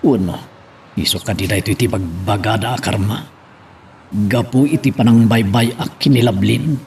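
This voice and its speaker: Man acting a gravelly, regretful Ilocano lover. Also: fairly low pitch, moderate pace, a little mellow, slight background noise. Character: regretful, troubled lover brooding over past mistakes. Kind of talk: Ilocano drama monologue.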